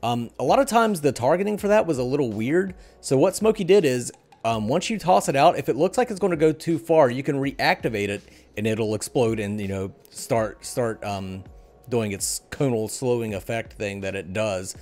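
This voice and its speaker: A man's voice: medium pitch, animated, moderate pace, darkish tone. Middle-aged man hosting a casual gaming podcast. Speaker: podcast host. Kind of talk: casual gaming news discussion. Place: small room at home, video call. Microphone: headset and desk microphone.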